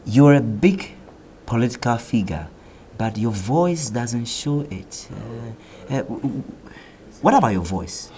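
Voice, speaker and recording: Mellow-voiced Ghanaian TV interviewer. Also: male voice, medium pitch, lively intonation, slow, slight background noise. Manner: hesitant, many fillers and restarts. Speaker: TV interview host. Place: TV studio.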